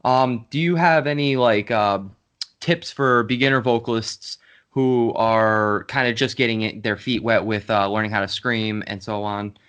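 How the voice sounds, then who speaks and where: A man's voice: fairly low pitch, steady tone, moderate pace, fairly bright tone, clear, resonant tone. A man in his late twenties hosting a podcast interview in a loose, joking way, swearing freely. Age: 20s. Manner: loose, swearing, self-mocking. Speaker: podcast host.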